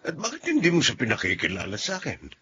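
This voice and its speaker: A man's voice: mid-range voice, huge pitch swings, fast talker, pushed and bright, slightly rough, full character voice. An actor playing a curious, blunt middle-aged father questioning his son in a radio drama. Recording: muffled radio-play recording with faint music.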